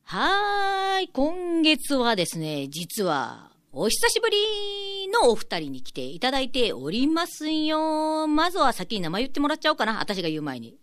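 Female radio host, bubbly and casual, higher voice with very animated intonation, playfully polite wording. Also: moderate pace, few pauses, no room sound.

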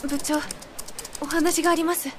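A woman's voice: very high-pitched, level tone, normal speed, noisy background. An actress playing a polite, earnest female office worker who is sweet on her boss in a radio drama. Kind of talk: Japanese radio drama acting.

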